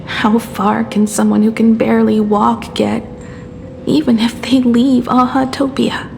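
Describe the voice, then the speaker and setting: Slow, breathy, weary young engineer. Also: female voice, a little high, slight background noise. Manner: slow, sighing, wistful. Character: frail, weary young woman engineer, quietly despairing. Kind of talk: dramatic game voice acting.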